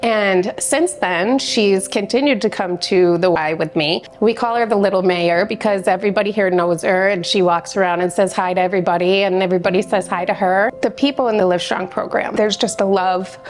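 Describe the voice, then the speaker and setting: A mother speaking warmly and sincerely in a testimonial interview. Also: mid-range voice, fairly quick, hardly any pauses. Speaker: mother, YMCA member. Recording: music bed under voice.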